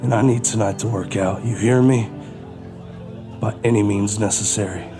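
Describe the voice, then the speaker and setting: An actor playing a guarded, determined thief in an audio drama, with a terse, muttering delivery. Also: male voice, fairly low pitch, fairly even intonation, moderate pace, music in the background, close to an everyday voice. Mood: desperate, resolute. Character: tense, desperate male thief, guarded and determined.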